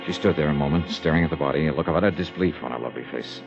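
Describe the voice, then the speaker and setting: A man's voice: extremely low pitch, rapid-fire, creaky. An actor playing a wry, matter-of-fact hardboiled investigator with an American accent, narrating his case in an old radio drama. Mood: wry, grim. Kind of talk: golden age radio drama acting. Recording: dull old radio recording with music.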